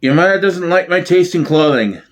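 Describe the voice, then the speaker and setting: A man's voice: high voice, animated, moderate pace. A man performing an older father in a sitcom reading, boastful and grumbling. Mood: defensive.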